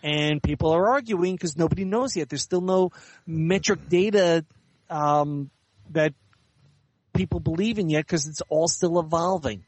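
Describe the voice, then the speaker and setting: A man giving measured, articulate, explanatory answers as an author and editor being interviewed in conversation. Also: on the high side, no echo.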